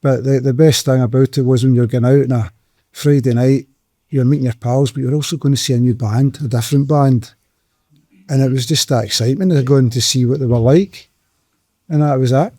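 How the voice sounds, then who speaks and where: A man's voice: mid-range voice, steady tone, fairly quick, mellow, no echo. A man of sixty, telling easygoing, rambling stories. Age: 60s. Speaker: music fan guest. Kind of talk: casual reminiscing.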